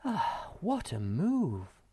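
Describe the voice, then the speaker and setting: A man voicing a motherly female troll in a storybook, gentle, anxious and given to sighing. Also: mid-range voice, huge pitch swings, measured pace, creaky, pitched above the performer's own voice, exaggerated voice. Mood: exhausted.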